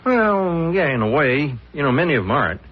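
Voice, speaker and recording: A man's voice: a little high, very animated, fairly quick, slight background noise. An actor playing a chatty, eager male newspaper columnist in a 1970s radio drama, folksy and a little hesitant, on a dull old recording. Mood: eager, proud.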